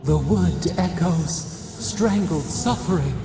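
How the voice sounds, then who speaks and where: A man voicing an ancient, mournful otherworldly spirit in a video game, solemn, cryptic and creaky. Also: on the high side, slow pace, heavily acted. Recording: long echoing reverb, music behind.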